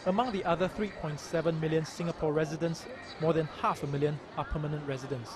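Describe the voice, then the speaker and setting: Man narrating a news documentary. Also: a little high. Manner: measured, even news delivery. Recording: voice-over with music behind.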